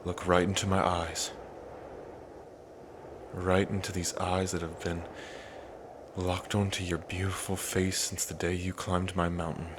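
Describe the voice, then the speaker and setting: A man's voice: sits low, flat delivery, unhurried, long pauses, background noise. A man playing a cocky yet tender young dragon king in his twenties, speaking casually and hesitantly to the listener in an intimate ASMR roleplay. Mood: tender, soothing. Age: 20s. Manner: casual, hesitant, trailing phrasing.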